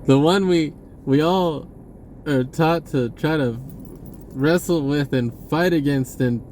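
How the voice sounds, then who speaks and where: A man with a slightly high voice rambles through an unscripted monologue. He stops and restarts, repeating words as he goes. A faint noisy rumble sits behind him. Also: slow, a little mellow. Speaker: spiritual video blogger. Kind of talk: casual unscripted monologue to viewers.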